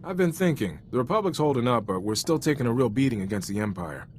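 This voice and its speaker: A man's voice: mid-range voice, big pitch swings, fast talker, a little mellow, a little hiss or hum, lightly acted. A man playing an earnest, loyal Republic soldier in a video game, speaking frankly in an informal, sincere military manner. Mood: reflective, resolute. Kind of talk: cinematic video game voice acting.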